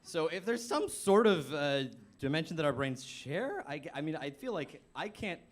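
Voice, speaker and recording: A man on a panel who writes and acts, answering in a halting, self-deprecating, joking way. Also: fairly high pitch, sing-song. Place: café event space with audience.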